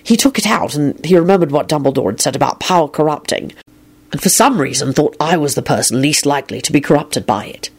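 A narrator voicing a wry, mild-mannered man in a story, apologetic and earnest in a hurried way. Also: mid-range voice, lively intonation, husky. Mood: low, intent. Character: wry, apologetic grown man, Lupin, quick and evasive.

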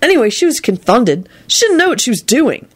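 A woman's voice: a little high, very animated, a little fast, husky, voice pushed up. A woman voicing a cheeky, gleeful, sneaky teenage boy in an audiobook, with a brash cheerful manner. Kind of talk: audiobook character voice.

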